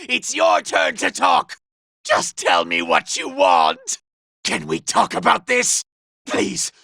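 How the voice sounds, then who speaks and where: Vain scheming robot villain for a game, super gravelly n sharp, sneery and pompous. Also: male voice, extremely high pitch, dry recording, studio quality, heavily acted. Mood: panicked pleading. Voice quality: raspy, gravelly. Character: vain, scheming, treacherous robot villain, cowardly under threat. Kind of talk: video game character acting.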